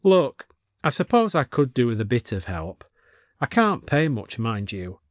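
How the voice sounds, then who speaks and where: A man's voice: medium pitch, sing-song, unhurried, no echo, clean studio sound. A man voicing a wry, easygoing male antique shop owner with a blunt manner, in a story. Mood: wry, gruff.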